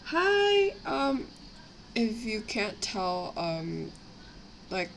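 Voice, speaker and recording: A young woman with a slightly raspy voice from a cough, rambling casually as she chats on a live stream while building models. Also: medium pitch, big pitch swings, extremely slow, low-quality recording. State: sick with a cough, itchy throat. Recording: clear background noise, home livestream.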